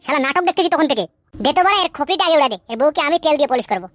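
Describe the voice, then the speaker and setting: A man's voice: very high voice, animated, rapid-fire, a bit bright, soft background music. A man voicing a menacing, taunting hired thug in a comedy cartoon. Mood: menacing, impatient. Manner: taunting, coarse, threatening. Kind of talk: Bengali comedy cartoon dubbing.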